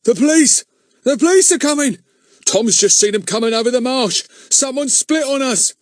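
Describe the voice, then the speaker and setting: A man reading a storybook voicing a rough crook shouting a warning in panic. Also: extremely high pitch, animated, normal speed, no room sound, studio quality, pitched above the performer's own voice. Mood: alarmed.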